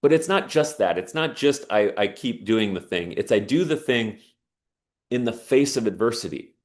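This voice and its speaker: A man's voice: medium pitch, expressive, moderate pace. A man giving an earnest, emphatic inspirational talk and telling a personal story. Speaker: speaker on sauna and resilience.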